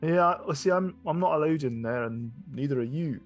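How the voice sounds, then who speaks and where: A man puts on a fussy male stickler for the rules in a tabletop game, speaking with a Scottish accent. He is polite, hesitant and apologetic, in a fairly high voice over music. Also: a little fast, close to an everyday voice. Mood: politely flustered.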